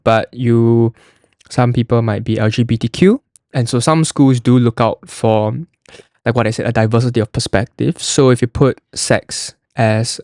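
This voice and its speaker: A young man talks through an application form like a casual explainer. His voice sits at a middling pitch. He is chatty and loose, often restarting and leaning on "like", and the recording is dry and close. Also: a little mellow, no room sound. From Singapore. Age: young adult. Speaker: college admissions podcast host.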